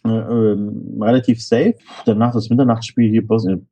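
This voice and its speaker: A man's voice: on the low side, flat delivery, moderate pace, gravelly, mellow, husky. A man from Stuttgart, a guest on a podcast, chatting casually and jokingly about football and laughing often.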